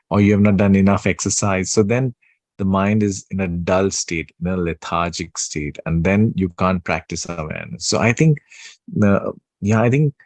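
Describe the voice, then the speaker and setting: Male spiritual teacher, deep soothing voice, dry close sound, halting delivery. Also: a little mellow, no room sound. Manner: slow, pausing, many fillers and restarts. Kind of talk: unscripted spiritual talk.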